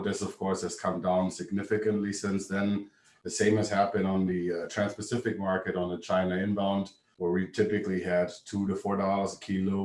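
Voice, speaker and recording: A man with a German accent, giving a brisk, matter-of-fact business presentation on a video call. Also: low-pitched, a little mellow. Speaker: webinar presenter. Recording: echoey room, distant mic.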